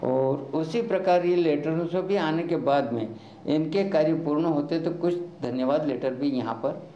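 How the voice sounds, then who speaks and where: A man's voice: fairly high pitch, moderate pace, warm tone. A man, a temple priest, giving informal answers in an interview. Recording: echoey, muffled on-location interview.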